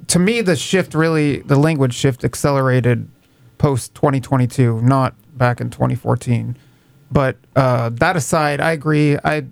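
Gravelly man, explaining in measured tones. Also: mid-range voice, dry recording. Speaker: reporter. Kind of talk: casual discussion.

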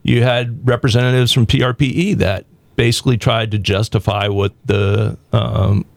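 A man from the American South hosting a talk show, speaking bluntly and provocatively with halting restarts. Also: lowish voice, steady tone, vocal fry, no echo. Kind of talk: opinionated radio talk.

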